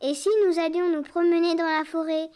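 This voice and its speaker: A woman's voice: extremely high pitch, little pitch movement, fairly quick, a little mellow. A woman voicing a curious, eager young boy in a cartoon. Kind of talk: cartoon voice acting.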